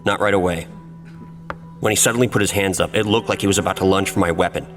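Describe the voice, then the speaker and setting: Low-voiced policeman testifying, echoing. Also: fairly even intonation, quick pace, fairly bright tone, faint music behind. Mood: defensive. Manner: formal, self-justifying. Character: defensive male police officer giving court testimony. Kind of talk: scripted courtroom drama testimony.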